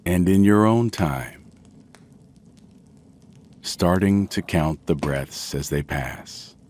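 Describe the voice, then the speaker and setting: A man gently narrating a guided sleep meditation in a soothing, measured way with long pauses. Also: sits low, a little hiss or hum.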